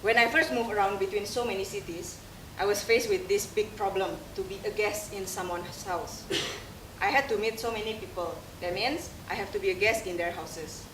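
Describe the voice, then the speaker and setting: A young woman speaks English with an Indonesian accent, giving a candid, self-mocking monologue on a theater stage. Her voice is picked up from a distance and rings in the roomy hall, over a layer of steady noise. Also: medium pitch, moderate pace. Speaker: theater performer. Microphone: distant room mic. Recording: roomy stage sound from far off, audible noise.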